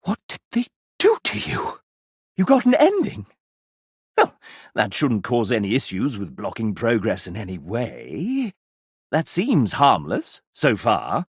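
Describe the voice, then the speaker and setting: An actor voicing a sassy, vain gentleman mascot in a video game, theatrical and pompous, with flamboyant old-fashioned phrasing and a husky edge. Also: lowish voice, huge pitch swings, measured pace, dry recording, clean studio sound, exaggerated voice. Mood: conspiratorial, blithe. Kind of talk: video game character dialogue.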